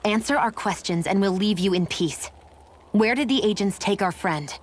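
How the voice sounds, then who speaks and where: A woman voicing an aloof, sharp-tongued young healer in a video game. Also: medium pitch, moderate pace, natural voice. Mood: stern, impatient.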